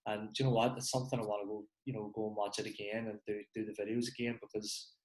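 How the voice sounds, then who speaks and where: A man's voice: fairly low pitch, little pitch movement, fast talker, a little mellow. Northern Irish man in an echoey room. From Northern Ireland. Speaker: podcast host. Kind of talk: casual podcast interview.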